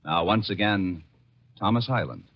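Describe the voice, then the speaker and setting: Animated male vintage radio announcer. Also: low-pitched, moderate pace. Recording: dull lo-fi 1950s radio recording. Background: faint music.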